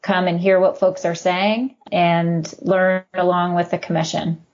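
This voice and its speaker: Measured woman guest, lowish voice. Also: flat delivery, lo-fi sound, slight background noise. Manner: warm, measured, thinks aloud. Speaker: guest expert. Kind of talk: casual interview chat.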